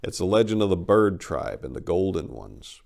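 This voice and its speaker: A man giving an expressive, theatrical reading of a first-person short story as its narrator. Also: low-pitched, moderate pace, creaky.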